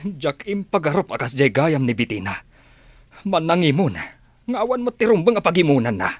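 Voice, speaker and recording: Animated, worried father played by a man. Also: on the high side, moderate pace, no room sound. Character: worried father and boss, anxious about his child. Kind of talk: Ilocano radio drama acting.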